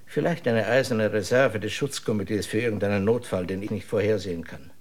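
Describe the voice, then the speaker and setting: A man playing a grave, cautious dissident scientist in a German radio drama, husky and measured. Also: on the low side. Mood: earnest, cautioning. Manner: measured, deliberate, serious.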